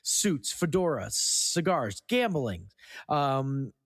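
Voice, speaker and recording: Guy hosting a movie podcast, casual n sweary, really lively pitch, dry sound. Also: slow, no room sound. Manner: conversational, casually profane. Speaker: podcast host. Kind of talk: casual film podcast chat.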